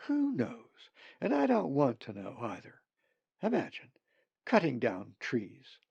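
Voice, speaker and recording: Guy doing a fussy lady raven from a storybook, pitched up, creaky, indignant and disapproving. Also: huge pitch swings, slow, darkish tone, dry recording, muffled recording, full character voice. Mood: disgusted. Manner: emphatic, disapproving. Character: opinionated, indignant, cautious female raven.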